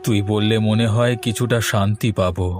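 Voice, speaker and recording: A man's voice: fairly low pitch, fairly even intonation, brisk, over music, natural voice. A man voicing a weary, ailing, hopeless fisherman in a cartoon story. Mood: worried, weary.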